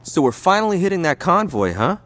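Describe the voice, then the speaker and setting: A man's voice: sits high, sing-song, fairly quick, fairly bright tone, a little hiss or hum. An actor playing a flaky, eager young male gang mechanic in a video game, with loose, slangy talk. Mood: chatty. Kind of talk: video game cutscene acting.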